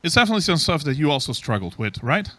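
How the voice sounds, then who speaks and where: A man's voice: on the high side, very animated, very fast, pushed and bright. Man giving a conference talk. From Belgium. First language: Dutch. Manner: explanatory, frequent ums. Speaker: conference speaker. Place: conference stage.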